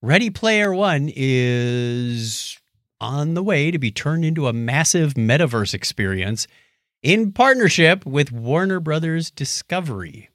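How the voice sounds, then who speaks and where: A man's voice: a little high, big pitch swings, measured pace, fairly bright tone, dry recording, studio quality. A man hosting a podcast in a casual, joking, conversational way. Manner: joking, playful. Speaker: podcast host. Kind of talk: casual co-hosted podcast chat.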